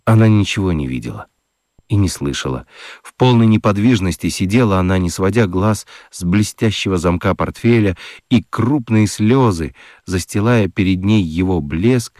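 Deep-voiced, expressive male storyteller. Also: moderate pace, no room sound, studio quality. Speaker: narrator of a children's radio play.

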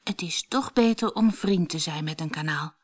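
A woman's voice: low-pitched, moderate pace, faint music behind, natural voice. A woman voicing a naive, curious little boy in a storybook, with an earnest, wondering manner.

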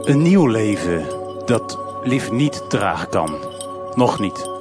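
A man's voice: lowish voice, big pitch swings, slow. A man playing a grave storyteller in a live noir radio drama, music behind him. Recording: voice under loud live music.

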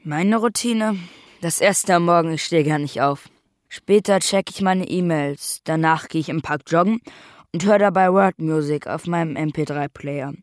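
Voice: medium pitch, moderate pace, lightly acted. A young performer playing a precocious twelve-year-old grandson in a German radio play, blunt, pedantic and matter-of-fact.

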